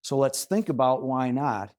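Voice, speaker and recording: Man with a mellow voice, explaining. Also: medium pitch, measured pace. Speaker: host and audio reviewer. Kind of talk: conversational explanation of audio setup tips.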